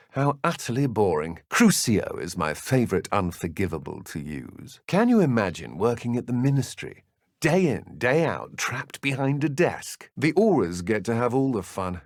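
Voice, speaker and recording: An actor voicing a callous, arrogant dark wizard henchman in a video game, with a British accent and a haughty, sardonic manner. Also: medium pitch, very animated, normal speed, dry recording, clean studio sound. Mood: sardonic, musing. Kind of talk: video game villain dialogue.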